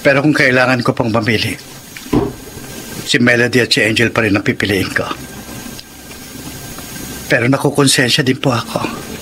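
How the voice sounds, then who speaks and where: A man's voice: mid-range voice, normal speed, long pauses, natural voice. An actor playing a conflicted, guilt-ridden married man in a Tagalog radio drama, speaking dramatically. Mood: remorseful. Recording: clearly noisy background.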